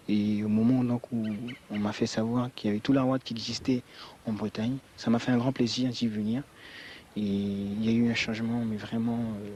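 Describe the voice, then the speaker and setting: A man's voice: on the low side, darkish tone, slight background noise. A young man from French Guiana giving a spontaneous, earnest and emphatic personal account in an interview. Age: young adult. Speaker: student interviewee.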